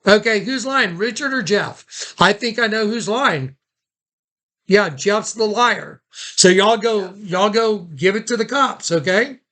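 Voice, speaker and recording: A man with a high, bright voice speaks on a recorded phone call. He is casual in wording but urgent and insistent, repeating himself as he directs the others. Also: slow pace.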